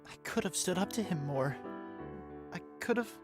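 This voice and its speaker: A woman's voice: lowish voice, lively intonation, moderate pace, natural voice. A woman playing a grieving young man, subdued and regretful, in a fan audio drama. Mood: guilty grief. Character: grieving, guilt-ridden young man, son of a cold father. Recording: music behind the voice.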